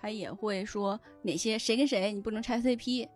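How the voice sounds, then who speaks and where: A woman explaining things in animated casual chat as a guest on a talk show. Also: mid-range voice, moderate pace. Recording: background music under the voice.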